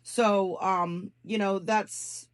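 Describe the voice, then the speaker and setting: A woman's voice: medium pitch, steady tone, drawn-out words. A woman giving casual advice on a phone call, cautioning and repeating her points for emphasis. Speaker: scam investigator giving job advice. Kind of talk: casual advisory phone conversation.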